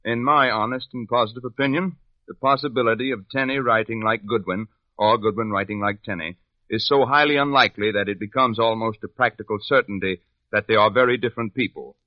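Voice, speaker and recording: An actor as low-voiced, formal expert witness. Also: male voice, fairly quick, no room sound, natural voice. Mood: formal, assured. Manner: precise, formal, assured. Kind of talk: 1950s radio drama acting. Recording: dull old radio recording.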